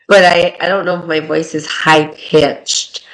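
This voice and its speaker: A woman podcast co-host in casual chat, loud and rambling, laughing often. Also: low voice, little pitch movement, slow, poor audio.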